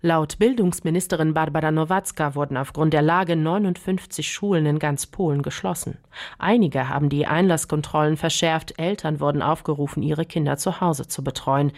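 Low-voiced woman reporting news. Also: steady tone, darkish tone. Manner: measured, formal delivery. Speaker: radio correspondent.

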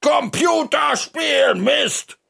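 A man voicing an earnest, emotional child friend in a children's story, with an excitable manner. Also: a little high, normal speed, vocal fry, breathy edge, no echo, clean studio sound, pitched above the performer's own voice, exaggerated voice. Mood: excited shouting.

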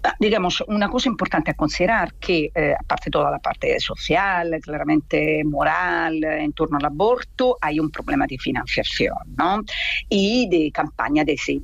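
A woman with an Italian accent, an expert guest answering interview questions and pausing on fillers and restarts. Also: low-pitched, gravelly, breathy edge, dry recording. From Italy. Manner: thoughtful, many fillers and restarts. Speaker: gender and rights expert guest. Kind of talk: interview by phone. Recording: muffled dry phone line.

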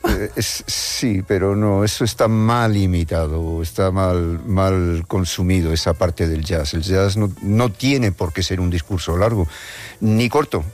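A musician from Madrid, a man with a Madrid Spanish accent, answering radio interview questions in a thoughtful, halting way with frequent restarts. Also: sits low, darkish tone, faint music behind. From Madrid, Spain. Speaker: musician guest.

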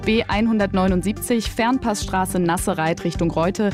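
Woman radio presenter, medium pitch, clear music bed behind, scripted announcements. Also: normal speed. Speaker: radio host reading weather and traffic.

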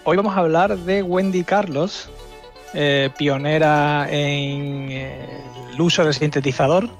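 A man giving an informal, explanatory radio music segment, often pausing mid-phrase and tagging his points for agreement. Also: a little high, steady tone. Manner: halting, explanatory, seeks agreement with tags. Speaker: radio music section contributor. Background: music playing underneath.

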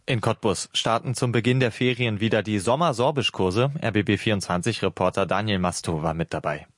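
A man's voice: on the low side, a little fast. A man presenting scripted news items as a radio host.

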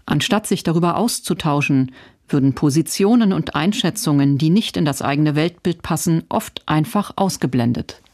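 A woman reads a scripted news report in a measured, even tone. The voice-over is recorded close and dry, with no room sound around it. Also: sits low, no room sound. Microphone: studio voice-over mic.

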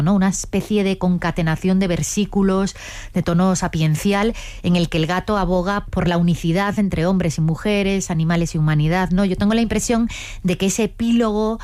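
A woman hosts a literary radio interview in a slightly low voice with a dry, studio-close sound. She is articulate and attentive, laying out prepared questions and offering brief affirmations. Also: darkish tone, dry recording.

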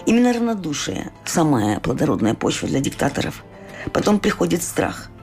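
A woman interviewer speaking in a deliberate, emphatic, essayistic way, as in a reflective literary interview. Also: deep voice, big pitch swings, a little mellow, faint music behind.

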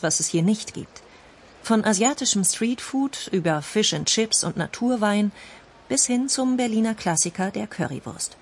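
A woman's voice: mid-range voice, a little mellow. A woman reads a scripted documentary narration as a radio feature narrator.

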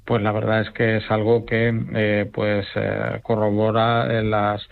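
Halting Spanish man on a phone line. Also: on the low side, monotone, vocal fry, clear, resonant tone. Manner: halting, hesitant. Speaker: weather expert interviewee. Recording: narrow tinny phone line.

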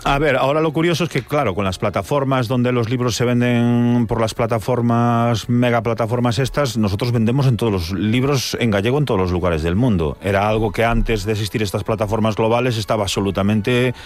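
A man, a publisher explaining things in a measured way, with hesitant restarts. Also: medium pitch. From Galicia. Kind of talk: radio-style interview answers.

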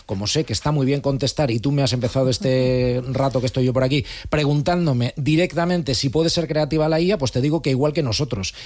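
A middle-aged man talks through a technical topic on a radio panel, conversational and explanatory. His pitch sits in a normal middle register, and he stumbles now and then with small hesitations before carrying on. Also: fairly quick, few pauses, darkish tone. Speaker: technology contributor.

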